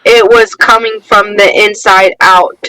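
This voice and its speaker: A woman's voice: medium pitch, fairly even intonation, a little slow, sharp tone, poor audio. A woman guest on a podcast, telling a personal story in a rambling, emotional way. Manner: rambling, emotional, fillers and restarts, acts out quotes. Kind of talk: casual storytelling in interview.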